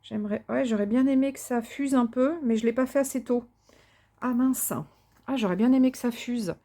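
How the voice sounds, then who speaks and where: A woman's voice: a little high, normal speed. A woman vlogger chatting casually while she crafts, thinking aloud with many fillers and restarts, enthusiastic and sometimes muttering to herself. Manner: enthusiastic about colours; talks to herself softly while working.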